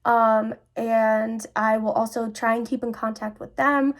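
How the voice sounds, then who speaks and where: A woman's voice: on the high side, steady tone, measured pace. A young woman in her twenties speaking casually and personally to viewers as a vlog host, in a reassuring, conversational manner. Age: 20s.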